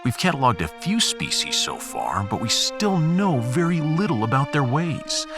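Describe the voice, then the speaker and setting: A man's voice: mid-range voice, very animated, normal speed, loud music behind the voice. A man playing a blunt, sardonic human starship captain in a sci-fi audio drama, with a wry, theatrical delivery. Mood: grave log-keeping.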